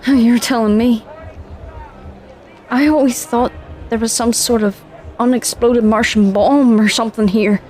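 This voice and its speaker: An actress playing a crash-landed space commander, questioning and wary, in an audio drama. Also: female voice, fairly high pitch, fairly even intonation, a little slow, pauses often, a little mellow, soft background music, some chatter behind, lightly acted. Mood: curious.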